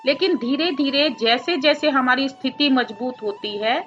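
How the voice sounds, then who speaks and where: A woman meditation teacher giving guided instructions gently, phrase by phrase, with pauses between them. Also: high-pitched, lo-fi sound, faint music behind.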